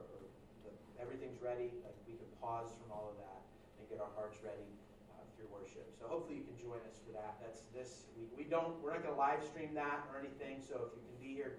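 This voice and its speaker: A male pastor giving casual church announcements and introducing a speaker, joking and filling pauses with "uh". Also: medium pitch. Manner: casual, joking, loose with fillers. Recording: echoey room, distant mic, audible noise.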